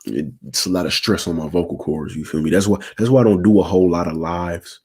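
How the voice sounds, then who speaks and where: A man in his twenties with a raspy voice and a sore throat, talking casually to viewers on his livestream and checking in with slangy asides. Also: deep voice, fast, a little mellow. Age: 20s. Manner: slangy, keeps asking "you feel me". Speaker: channel host.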